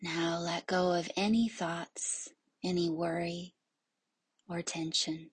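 A woman leading a guided yoga nidra meditation in a soothing, hushed, breathy voice that often nears a whisper, with long pauses. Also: fairly low pitch, little pitch movement, no room sound.

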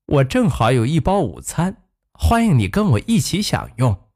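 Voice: mid-range voice, huge pitch swings, normal speed, dark tone. A storyteller playing a polite, eager little pig.

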